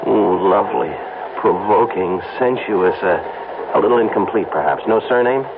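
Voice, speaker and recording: An actor playing a flustered, stammering advertising executive in a 1970s radio drama, excitable and smitten. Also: male voice, lowish voice, moderate pace, lightly acted. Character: smitten, flustered advertising man, fast-talking. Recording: tinny lo-fi old radio broadcast with hiss.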